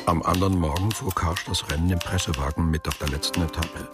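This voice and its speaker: A man's voice: low-pitched, steady tone, fast, over loud music. A man reading literary prose as the scripted narrator of a radio drama.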